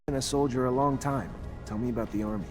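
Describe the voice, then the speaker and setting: An actor playing a calm, curt army lieutenant in a video game, terse and questioning. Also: male voice, medium pitch, quick pace, close to an everyday voice. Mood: calm, inquiring. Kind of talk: video game dialogue acting. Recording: music behind the voice.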